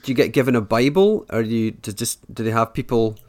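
Male interviewer, mid-pitched voice, meandering questions. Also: animated, fast talker, a little mellow. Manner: rambling, hesitant questions with fillers. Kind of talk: conversational interview.

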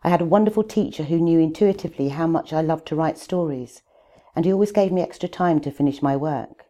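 A woman's voice: deep voice, quick pace. Wry older woman reading her memoir. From Wales. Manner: wry, gently humorous. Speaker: author.

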